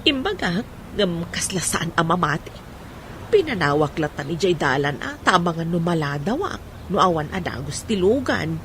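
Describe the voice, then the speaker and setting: A woman's voice: lowish voice, sing-song, normal speed, fairly bright tone, slight background noise. An actress playing a poor but proud mother whose son has become a policeman, speaking earnestly.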